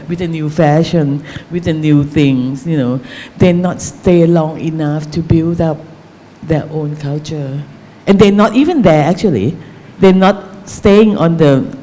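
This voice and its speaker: A woman with a Thai accent, speaking English as a novelist on an academic panel, halting and searching for words with many fillers. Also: low-pitched, warm tone, a little hiss or hum. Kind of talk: panel discussion answer.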